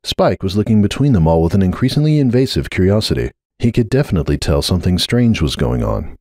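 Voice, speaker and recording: Expressive low-voiced man narrating fiction. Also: quick pace, no room sound, clean studio sound. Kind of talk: dramatic scripted story narration.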